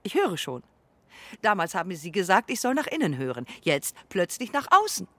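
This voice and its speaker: A woman's voice: lowish voice, huge pitch swings, moderate pace, a bit bright, no room sound, exaggerated voice. An actress in a radio drama playing a vain, imperious female film director and star, prickly, self-important and theatrical. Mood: haughty, offended.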